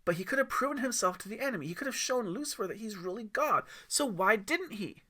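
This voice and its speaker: Man as curious young boy, audiobook, raised pitch, earnest, questioning, bright tone. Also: expressive, quick pace, exaggerated voice. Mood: insistent, puzzled. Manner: questioning, insistent.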